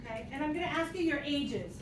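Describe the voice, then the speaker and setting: A joking woman in her fifties leads a math lesson, talking students through tallies with a light teasing manner. Her voice sits high. She is heard from a distance, in a reverberant room with steady background noise. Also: fast. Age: 50s. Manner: playful, jokey, talks through examples aloud. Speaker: math teacher. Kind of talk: classroom lecture. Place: classroom. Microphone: distant room mic. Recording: echoey classroom, distant mic, noisy.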